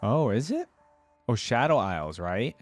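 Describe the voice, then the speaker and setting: A man's voice: mid-range voice, big pitch swings, unhurried. A man hosting a casual reaction video, commenting on game voice lines in a musing way and repeating phrases to himself. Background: music.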